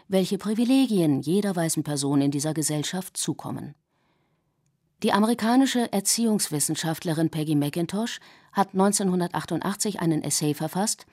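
A woman in her forties, a native English speaker raised in Germany, reading her own radio essay in a measured, reflective way. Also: lowish voice, creaky, warm tone. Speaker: essay author and narrator. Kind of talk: scripted radio essay narration.

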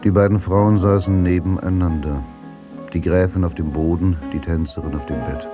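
A man narrating a German radio play from a script in a measured, brooding and intense dramatic style. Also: deep voice, steady tone, over music.

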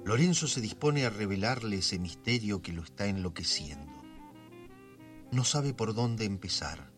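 A man with a Buenos Aires accent delivering scripted, dramatic narration for a radio serial. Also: sits low. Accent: Argentine, Buenos Aires. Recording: music behind the voice.

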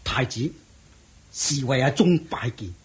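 A man's voice: mid-range voice, takes it slowly, heavy reverb, far from the mic, close to an everyday voice. A man acting a loyal, respectful royal attendant in a Hong Kong Cantonese radio drama. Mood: secretive. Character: loyal male attendant, secretly serving fallen prince.

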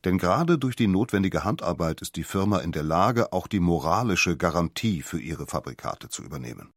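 A man's voice: low voice, animated, fairly quick, no echo, studio quality. A man voicing a formal, self-assured company director of the early twentieth century in a documentary quotation.

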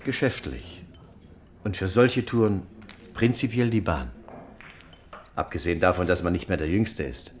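A man's voice: low voice, moderate pace, long pauses, a little hiss or hum, natural voice. An actor playing a courteous, reserved middle-aged businessman in a naturalistic radio play, polite, formal and slightly hesitant. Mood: polite, tentative. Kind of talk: naturalistic radio drama acting.

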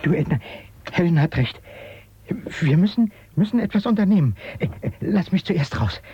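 A man's voice: high voice, expressive, moderate pace, slightly rough, lo-fi sound, exaggerated voice. An actor playing a nervous, flustered man of the future in a German radio drama, stammering and repeating his words. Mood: wondering, uneasy.

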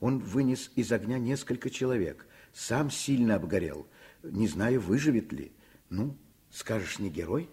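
Animated, husky, emphatic father. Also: fairly low pitch, a little slow, full character voice. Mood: warm, emphatic. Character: passionate, affectionate father and doctor explaining to his child. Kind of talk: Soviet radio play acting.

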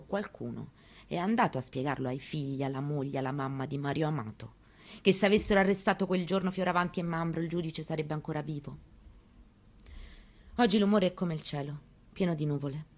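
A woman acts out an elderly mother in mourning, in a slightly low voice. She delivers a theatrical memoir monologue, bitter and defiant, pressing her points with rhetorical questions like someone demanding answers. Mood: indignant. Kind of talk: dramatic stage reading of a memoir, monologue.

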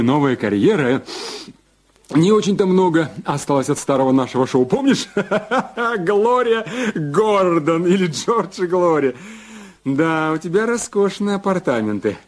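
A sleazy blackmailing husband for an audio drama. His highish voice swings widely as he drawls, wheedles and mocks, repeating himself with gloating relish. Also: a little mellow. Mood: smug. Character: sleazy, mocking blackmailer husband back from prison.

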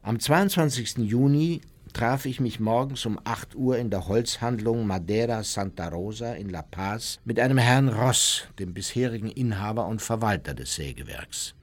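An actor playing a former Nazi emigrant soberly reading out his memoirs in a measured, matter-of-fact radio docudrama style. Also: on the low side, expressive. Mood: measured, reflective.

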